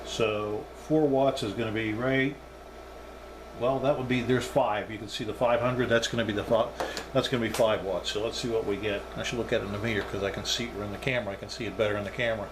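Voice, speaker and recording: Low-voiced man, noisy recording. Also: fairly even intonation, fast. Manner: unscripted, thinking aloud. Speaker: hobbyist product reviewer. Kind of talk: casual hands-on demonstration talk.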